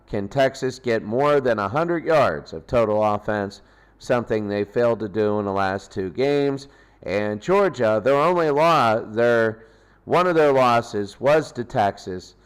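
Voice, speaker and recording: A man hosting a sports pregame show, commenting in a loose, unscripted and halting way. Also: fairly low pitch, clean tone. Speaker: sports host.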